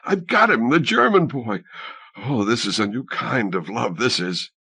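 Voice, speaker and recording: A man's voice: medium pitch, animated, measured pace, creaky, bright, forceful tone, husky, full character voice. A man voicing an exultant, seductive undead drowned woman who gloats over her prey in an audiobook story. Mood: excited, gleeful.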